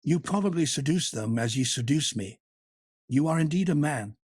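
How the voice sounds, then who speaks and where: A desperate young woman begs and coaxes in a raised, slightly husky voice, as a male reader puts her on. Her lines plead with emotion in a dry, close audiobook sound. She is an audiobook heroine on the edge of ruin. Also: fairly high pitch, lively intonation, moderate pace, darkish tone, no echo. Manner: emotional, imploring. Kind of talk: audiobook character reading.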